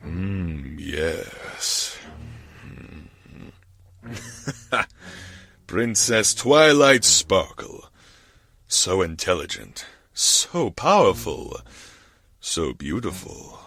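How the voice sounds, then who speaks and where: An actor playing an arrogant, leering unicorn guard captain in a story reading, pompous and boastful. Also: male voice, low voice, sing-song, drawn-out words, long pauses, pushed and bright, husky, dry recording, heavily acted. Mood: lustful, dreamy. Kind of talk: dramatised fan fiction reading.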